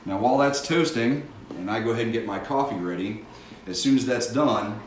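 A middle-aged man gives folksy, step-by-step cooking and safety instructions. He is picked up from across a home kitchen by a distant camera mic, so his voice sits back in the room. The hard surfaces add plenty of echo, and clear background noise runs under his speech. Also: on the low side, brisk. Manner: folksy, instructive, addresses kids and parents directly. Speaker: fire safety educator. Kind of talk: cooking demonstration with safety tips. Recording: echoey kitchen, mic far off, audible noise.

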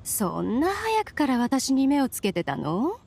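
A woman's voice: high voice, huge pitch swings, a little slow, vocal fry, a little mellow, slightly rough, full character voice. A woman playing a composed, sly young female visitor in an anime game, teasing and assured. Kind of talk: Japanese anime game acting.

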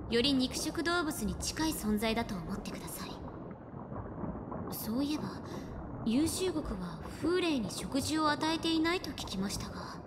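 An actress playing a calm young woman physician in a Japanese video game, speaking politely and in measured, formal phrases. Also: fairly high pitch, background noise, close to an everyday voice. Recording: loud game music underneath. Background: game music.

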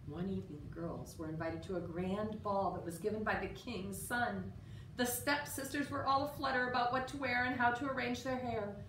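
A middle-aged woman reading a children's picture book aloud in an expressive, measured storytelling style. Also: medium pitch. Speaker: storyteller at a library. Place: indoor room with story sign. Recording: roomy, distant mic, faint room noise.

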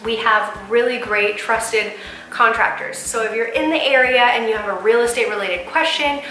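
A woman's voice: on the high side, normal speed, bright, forceful tone, a little hiss or hum, low-quality recording. An American woman in her thirties, a real estate agent, chatting enthusiastically to camera in a casual house-tour style. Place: inside a furnished townhouse.

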